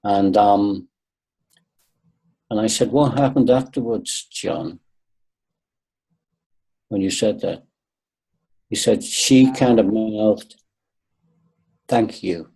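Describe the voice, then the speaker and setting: A man's voice: fairly low pitch, slow, creaky, long pauses, dark tone, husky, poor audio. A man in his sixties, a guest on a webinar video call, talking earnestly and repeating points for emphasis. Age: 60s. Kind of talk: webinar conversation.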